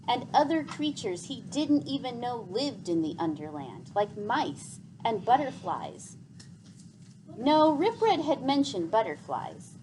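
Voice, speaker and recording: A woman narrates a children's novel aloud in an expressive, deliberate, dramatic storybook style, as scripted reading. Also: a little high, a little hiss or hum, poor audio.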